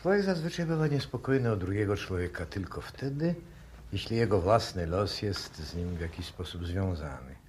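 An actor playing a cold, sardonic professor who speaks deliberately and provocatively, in 1960s radio drama style. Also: male voice, low-pitched, animated, darkish tone. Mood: sardonic, measured. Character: cold, sardonic male professor, master of the house. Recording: noisy old radio recording.

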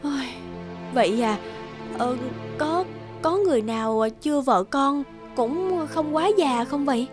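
A woman's voice: high voice, expressive, measured pace. A woman voicing an awkward, hesitant, educated single woman in her forties in a cartoon. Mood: hesitant, dismayed. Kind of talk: cartoon voice acting. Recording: music behind the voice.

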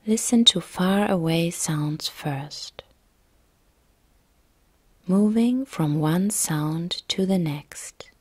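A woman yoga nidra instructor narrating a guided relaxation meditation in a calm, soft, gentle, breathy way. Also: fairly low pitch, drawn-out words, pauses often, dry recording.

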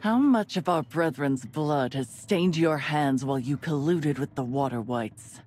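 A man's voice: a little high, unhurried, a little mellow. An actor playing a guilt-ridden but resolute young male adventurer in a video game. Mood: stern. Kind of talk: video game dialogue acting.

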